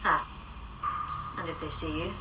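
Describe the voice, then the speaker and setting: A woman's voice: low-pitched, measured pace. An actress playing an eccentric paranormal agent in a radio drama, matter-of-fact and blithely confident as she reasons aloud. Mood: matter-of-fact scheming. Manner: blithe, analytical, deadpan. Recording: slightly distant, clear background noise and ambience.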